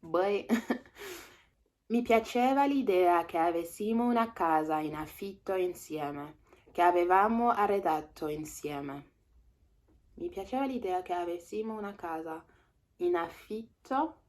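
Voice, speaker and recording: Young woman doing a casual read-along, lowish voice, stops to guess words. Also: expressive, a little mellow. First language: French. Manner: reads slowly, pauses to translate and guess words. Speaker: language learner reading aloud.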